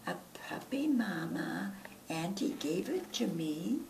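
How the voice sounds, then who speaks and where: Woman as a meek little boy possum, slow-spoken. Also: fairly low pitch, very animated, gravelly, darkish tone, pitched above the performer's own voice, exaggerated voice. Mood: innocent. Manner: meek, simple short answers. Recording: roomy, distant mic, faint noise.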